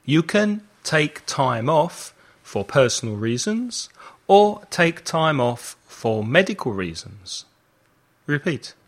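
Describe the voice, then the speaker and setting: A man teaching an English lesson as host, enunciating deliberately and didactically, with phrases set out for learners to repeat. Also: on the high side, big pitch swings. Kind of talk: language lesson with slow, repeat-after-me delivery.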